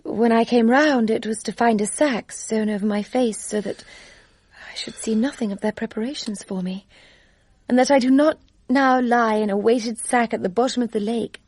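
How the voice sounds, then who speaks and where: A woman's voice: a little high, moderate pace, a little mellow, no room sound, close to an everyday voice. An actress playing a young woman soberly recounting a terrifying ordeal in a radio drama. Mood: solemn, grateful.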